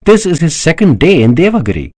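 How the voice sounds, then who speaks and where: A man voicing a regal emperor who speaks in solemn, courtly tones that swell into fervent conviction. The voice is husky and sits a little higher than the reader's own, with a lively, swinging pitch and a dry, close sound. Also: normal speed. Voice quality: solemn, passionate, rising to fervor. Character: proud emperor, older man, fervent believer.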